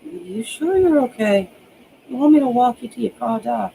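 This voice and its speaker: A man's voice: very high-pitched, animated, moderate pace, creaky, dark tone, slight background noise, voice pushed up, exaggerated voice, low-quality recording. A man voicing a weary, protective, sardonic ex-cop in an audiobook, earnest and plain-spoken. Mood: concerned.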